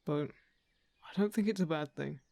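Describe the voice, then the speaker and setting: A man acts out a courteous male envoy of the crown in a fantasy audio roleplay. His voice sits a little high for a man and often creaks into fry, recorded close and dry. He chooses his words with hesitation and earnestly, gently persuades. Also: moderate pace, dry recording. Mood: reassuring, earnest. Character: polite, earnest male royal envoy seeking a lost heir.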